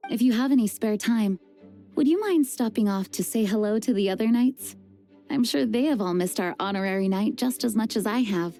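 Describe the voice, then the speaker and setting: An actress playing a composed, dutiful adult woman knight who leads, speaking in a measured, earnest and formal way. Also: on the high side, dark tone, lightly acted. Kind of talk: video game voice acting. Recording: game music under the voice. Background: game music.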